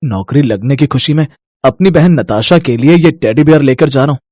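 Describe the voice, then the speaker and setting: An actor playing an eager, respectful young manager and dutiful son in a family storybook tale. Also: male voice, medium pitch, expressive, a little fast, a little mellow, dry recording, studio quality, natural voice. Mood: pleased.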